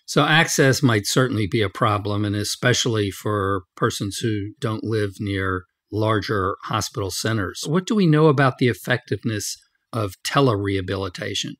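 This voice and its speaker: Male medical podcast interviewer, lowish pitch, prepared questions, dry studio-like sound. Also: moderate pace, a bit bright, no room sound. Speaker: interviewer, medical journal editor host.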